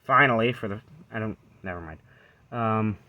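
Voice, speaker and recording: A man's voice: lowish voice, normal speed, dull audio. A man casually showing off equipment unscripted, rambling and breaking off as he goes, recorded on a handheld phone. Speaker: vlogger showing vintage reel-to-reel tape recorder. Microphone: handheld phone camera.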